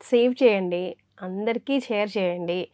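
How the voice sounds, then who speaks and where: A woman's voice: mid-range voice, measured pace, darkish tone, dry recording, clean studio sound. A woman interviewing in a casual, respectful way, giving short agreeing responses.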